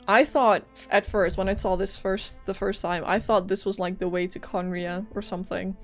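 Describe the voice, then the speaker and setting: A woman's voice: medium pitch, fairly even intonation, moderate pace, faint music behind. A woman streaming a video game, chatting casually and reacting to the story as it plays. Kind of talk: casual gameplay reaction commentary.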